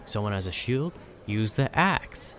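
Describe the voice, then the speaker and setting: A man casually rambling about a video game with nerdy enthusiasm, often halting to search for words. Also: on the low side, sing-song, a bit bright, slight background noise. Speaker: voice actor making a rambling ambience video.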